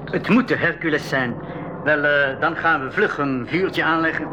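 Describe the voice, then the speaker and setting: An actor playing a friendly, patient expedition leader who explains things in a radio play. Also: male voice, fairly high pitch, normal speed, noisy background, close to an everyday voice. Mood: practical. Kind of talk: 1980s school radio drama acting. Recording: dull 1980s radio recording.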